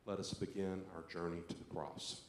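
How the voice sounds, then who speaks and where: Low-voiced man leading an echoey church service. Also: steady tone, normal speed. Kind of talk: service introduction and responsive scripture reading. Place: church sanctuary. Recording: echoey church, faint background noise.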